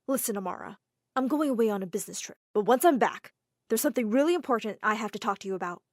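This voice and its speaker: A woman's voice: on the high side, expressive, quick pace, dry recording, studio quality, natural voice. A woman doing a kindly rich businessman father. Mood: earnest. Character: wealthy middle-aged businessman, kindly father.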